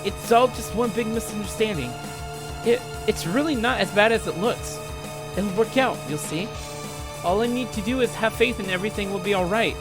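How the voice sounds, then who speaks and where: A dying young man acted out by a man speaks in a strained, emphatic, slightly high voice. He is bitter, desperate and accusing, in visual novel style over loud music. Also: moderate pace. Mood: frantic denial.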